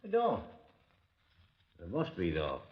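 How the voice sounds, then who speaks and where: An actor playing a nervous, defensive businessman in a 1950s radio drama, flustered and halting. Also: low voice, wildly swooping pitch, full character voice. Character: nervous, defensive businessman under police suspicion. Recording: tinny, echoing old radio recording.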